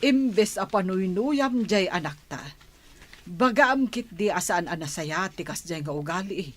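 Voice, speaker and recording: An actress with an Ilocano Filipino accent playing a plain-spoken, gently reproachful village mother in a radio drama. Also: fairly low pitch, expressive, a little slow. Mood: weary, disapproving.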